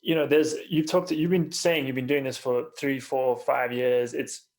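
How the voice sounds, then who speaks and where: A man's voice: medium pitch, level tone, moderate pace, darkish tone. A man hosting a podcast, interviewing in a casual, conversational way. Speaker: podcast host.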